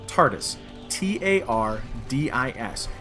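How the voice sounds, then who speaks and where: A man's voice: medium pitch, lively intonation, slow, a bit bright, soft background music. A man playing a grandiose, exasperated alien time traveller in a comic audio drama, theatrical and dry.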